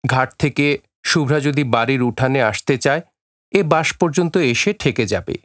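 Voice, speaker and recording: A man narrating a scripted audio drama story in a measured, literary reading style. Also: medium pitch, dry recording, clean studio sound.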